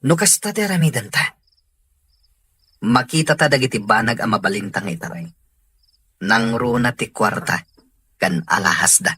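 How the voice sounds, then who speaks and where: A scheming crook played by a man in an audio drama, with a very husky, rough voice. He sounds bright and forceful at an everyday male pitch, with insects chirping behind him. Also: animated, moderate pace, long pauses, dry recording. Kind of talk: acted dialogue in an audio drama.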